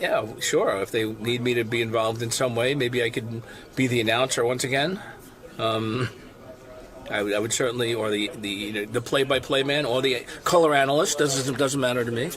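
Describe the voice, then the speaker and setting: Male interviewee, medium pitch, eager rambling answers, casual chat. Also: steady tone, moderate pace, a bit bright. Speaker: cameraman being interviewed.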